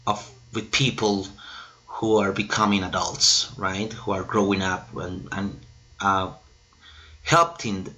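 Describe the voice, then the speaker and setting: A man's voice: on the low side, sharp tone, rough recording. A man in his thirties from Colombia, a native Spanish speaker with a Colombian accent, presenting himself as an English teacher in a hesitant, explanatory way. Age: 30s. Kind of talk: self-introduction presentation to camera.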